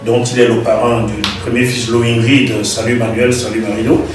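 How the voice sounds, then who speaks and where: A man from Gabon, an interview guest telling his story in an animated way with frequent laughter. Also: medium pitch, a little fast. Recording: echoey room, far from the mic, music behind.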